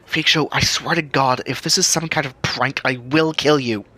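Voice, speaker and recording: A man voicing a prickly, sarcastic but soft-hearted young woman in a narrated audio story, earnest under the exasperation. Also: mid-range voice, fairly even intonation, moderate pace. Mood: annoyed.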